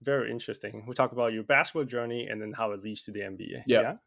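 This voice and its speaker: A man's voice: mid-range voice, fairly even intonation, quick pace, creaky, a bit bright. A man hosting an interview podcast, upbeat and loose, with lots of fillers and restarts as he asks questions. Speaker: podcast host.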